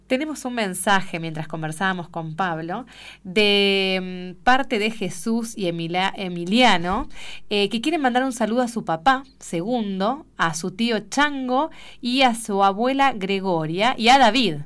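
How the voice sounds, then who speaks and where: A woman hosting a radio show, chatting with a guest and passing on listener greetings in an easy, unhurried way. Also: medium pitch, animated, fairly bright tone, clear, resonant tone. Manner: friendly, unhurried, asks open questions. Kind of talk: casual radio chat and announcements. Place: radio studio.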